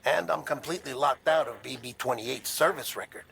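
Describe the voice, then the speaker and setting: An actor playing an earnest, helpful researcher in a video game, polite and measured as he reports. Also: medium pitch, creaky, a bit bright. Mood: apologetic, earnest. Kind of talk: video game radio-call dialogue. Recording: noticeable ambient noise behind.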